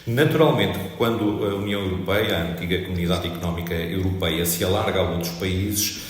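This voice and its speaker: A low-pitched middle-aged man from northern Portugal explains economics as an interview guest, careful and unhurried, with many small hesitations. His voice rings in a bright, reverberant room with a long tail. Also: faint music behind. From Portugal, Trás-os-Montes. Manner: measured, explanatory, with frequent hesitations. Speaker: economics professor, podcast guest. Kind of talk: academic interview answer.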